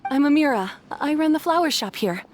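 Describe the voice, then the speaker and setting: High-voiced, sweet shopkeeper. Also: female voice, animated, fairly quick, a little hiss or hum. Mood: welcoming. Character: friendly, sweet female flower shop keeper, wife. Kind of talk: video game voice acting.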